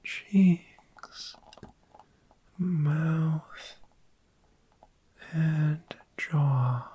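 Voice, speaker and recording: Very slow whispering friendly dragon performed softly. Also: medium pitch, exaggerated voice. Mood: sleepy, tender. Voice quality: breathy, mostly whispered. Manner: slow, soothing, lingering repetition. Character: friendly, gentle dragon host of a sleepy hoard. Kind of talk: soft-spoken ASMR roleplay.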